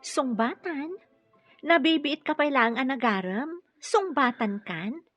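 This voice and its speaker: A woman's voice: sits high, lively intonation, takes it slowly, a bit bright, dry recording, soft background music, full character voice. A woman playing a shy, modest young office clerk being courted in an Ilocano radio drama, with an Ilocano accent. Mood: coy.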